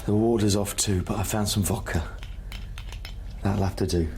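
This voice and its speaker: An actor playing a calm, world-weary survivor in an audio drama, laconic and low-key as he offers help. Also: moderate pace, a little hiss or hum, lightly acted. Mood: concerned.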